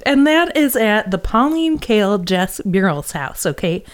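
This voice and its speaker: A woman's voice: medium pitch, big pitch swings, a little slow. A woman hosting a casual art podcast, wry and swearing freely as she chats and reads event listings. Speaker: podcast host. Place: indoor art space studio.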